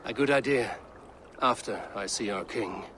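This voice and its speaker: An actor voicing a steady male Viking raider hero with a measured, dry-witted delivery in a video game cutscene. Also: on the low side, a little hiss or hum, natural voice. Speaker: game protagonist.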